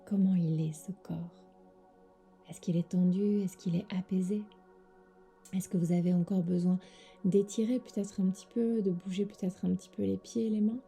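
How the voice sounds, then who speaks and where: A woman with a soft, breathy voice leads a guided sleep meditation as a yoga teacher, giving gentle, soothing instructions with pauses. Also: medium pitch, steady tone, soft background music.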